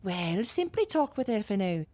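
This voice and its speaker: A woman plays a mother of a grown son in a period radio drama. She is genteel and persistent, coaxing her way along at a middling pitch. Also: sing-song, moderate pace, dark tone, lightly acted. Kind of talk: period audio drama acting.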